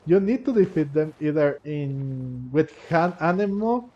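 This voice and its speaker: A man giving casual let's-play commentary, rambling and halting as he thinks aloud. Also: fairly high pitch, animated, warm tone, dull audio. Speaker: gaming commentator. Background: video game music.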